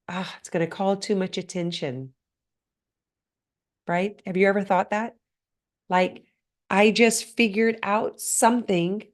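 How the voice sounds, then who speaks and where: A woman hosting a motivational podcast on her own, speaking earnestly and often putting questions straight to the listener, her voice a little hoarse and stuffed up. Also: mid-range voice. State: slightly hoarse, congested. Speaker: podcast host. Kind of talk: motivational solo talk.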